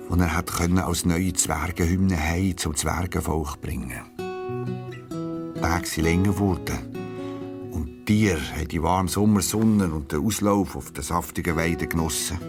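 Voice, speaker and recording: Deep-voiced Swiss German storyteller over music. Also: male voice. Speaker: narrator. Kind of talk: scripted children's storytelling. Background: music, plucked guitar.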